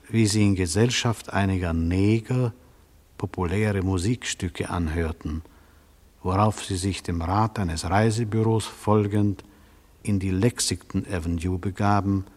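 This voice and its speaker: An actor playing a stern, sober judge in a German radio play, dry, official and curt. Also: male voice, on the low side, darkish tone. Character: stern, sober male judge questioning a defendant. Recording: reverberant courtroom-like radio studio sound.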